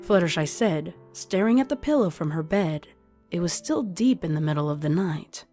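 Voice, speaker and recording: A woman's voice: fairly low pitch, lively intonation, moderate pace, warm tone. A woman reading fan fiction aloud as scripted storytelling narration. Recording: music under the voice.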